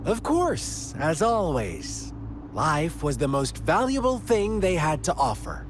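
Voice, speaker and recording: An actor playing a mocking male villain who tells a dark parable in a game, theatrical and taunting. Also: huge pitch swings, full character voice. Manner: theatrical, slow and taunting, wild pitch swings. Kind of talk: game cutscene voice acting. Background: game score music.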